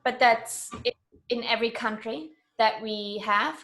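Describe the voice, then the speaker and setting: Higher-voiced woman from Germany, chatting. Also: fairly even intonation, moderate pace, fairly bright tone. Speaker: interview guest. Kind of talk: casual conversational interview.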